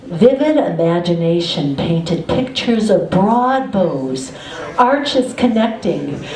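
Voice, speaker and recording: A woman performing a poem at an open mike, with measured, deliberate phrasing. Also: fairly high pitch, a little mellow. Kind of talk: slow poetry recital. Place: indoor cafe gallery open mike. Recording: echoey live room.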